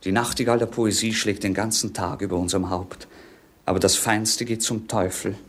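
An actor playing a bored, witty young prince in a 1950s radio play, with a mannered, mocking stage delivery. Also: male voice, on the low side, steady tone, normal speed, a bit bright, breathy edge. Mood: weary, scornful.

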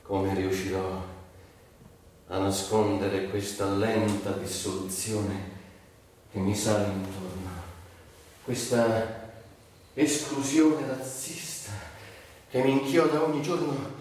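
Bitter rebel in a cavernous, echoing hall. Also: male voice, on the low side, little pitch movement, slow, pauses often, darkish tone. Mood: anguished. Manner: declamatory, swinging between murmurs and outcries. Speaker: stage actor. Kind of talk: theatrical dramatic monologue adapted from a novel. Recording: very echoey stage, distant mic, noisy.

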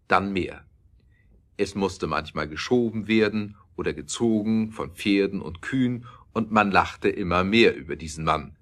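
A man narrating an audio drama with scripted, expressive storytelling delivery. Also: deep voice.

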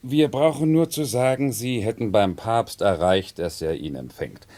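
A man's voice: lowish voice, animated, a little slow, a little mellow. An actor playing an obliging male retainer in a 1970s radio drama, explaining things precisely and deferentially. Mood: earnest, deferential. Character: obliging, earnest male retainer in costume service. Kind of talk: 1970s German radio play acting.

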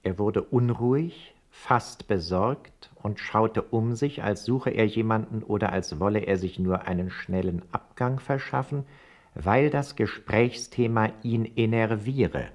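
A man's voice: fairly low pitch, a little slow. An actor playing a servile informant who narrates his formal report in ornate for a radio play. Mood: measured, intrigued.

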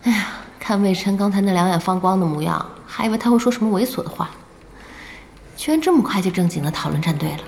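A woman's voice: mid-range voice, expressive, fast, a little mellow, slight background noise, lightly acted. A woman playing a feisty, good-hearted internet cafe boss in an audio drama, blunt and exclamatory. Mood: wry, reflective.

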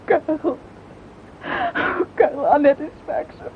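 Through a tinny radio recording with faint noise comes a timid, anguished widow in a radio play. She has a Netherlands Dutch accent. She speaks haltingly, repeating words as she pleads to be understood. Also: sits high. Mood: tearful. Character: fragile, lonely widowed woman, timid and anguished. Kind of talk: radio drama acting.

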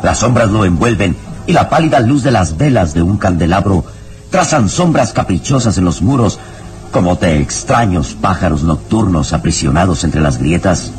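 A man's voice: low-pitched, animated, moderate pace, a little mellow. A man narrating a horror adventure radio serial in a grave, theatrical, ominous style. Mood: ominous, suspenseful. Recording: radio drama with background music. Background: dramatic music.

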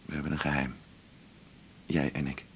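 An actor playing a lonely, teasing, self-mocking doctor in a naturalistic radio drama, talking in a wry, confiding way. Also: male voice, extremely low pitch, flat delivery, moderate pace, vocal fry, a bit bright, slight background noise, exaggerated voice. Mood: quiet, intimate. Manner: wry, confiding, short clipped phrases.

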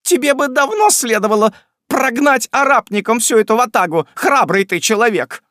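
A man voicing a furious middle-aged landlady with a shrill, screeching voice. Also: animated, moderate pace, fairly bright tone, dry recording, clean studio sound. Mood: furious shrieking.

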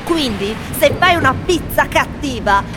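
A woman's voice: high voice, expressive, unhurried. A woman performing an intense, prophetic tourist who addresses Romans in a theatrical, declamatory radio monologue. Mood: bitter scorn. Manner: declamatory, solemn, emphatic. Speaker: stage actor reading tourists' postcards. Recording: loud music and street sounds under voice.

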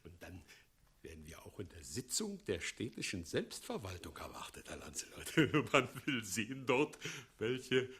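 A scheming old mayor in a 1960s radio play. His delivery is husky and sharp-edged, theatrical and unpredictable. He fawns and plots, leaping between confidential murmurs and ringing cries, with pitch all over the place. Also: sing-song, measured pace, heavily acted. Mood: ingratiating. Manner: theatrical, erratic, swinging from whispers to shouts. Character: scheming, fawning, half-mad old mayor, a doting father.

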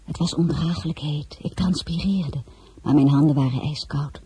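An actress playing a young crown princess in a radio play, who also narrates in the first person in a hushed, half-whispered way. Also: female voice, sits low, normal speed, breathy edge. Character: young French-born crown princess, proud and composed. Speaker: narrator and lead character.

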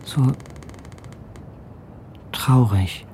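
A man's voice: mid-range voice, very slow, noisy background. An actor in a German radio drama playing an Arctic seafarer who confides his fears to a diary, hesitant and reflective, over faint background sound. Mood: uneasy, reflective.

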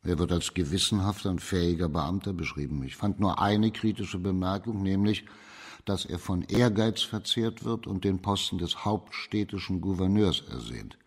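A man playing an authoritative, shrewd judge in a radio crime drama, speaking formal in a measured, commanding way. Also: low voice. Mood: grave, businesslike. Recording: reverberant hall.

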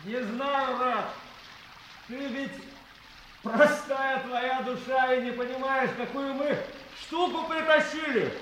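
Echoey, high-voiced jovial young man. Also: steady tone, slow, a bit bright, heavily acted. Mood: teasing. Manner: jocular, mock-pompous. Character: cheerful, teasing young male scientist colleague. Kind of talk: radio play dialogue. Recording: echoey shower room, long reverb, noisy.

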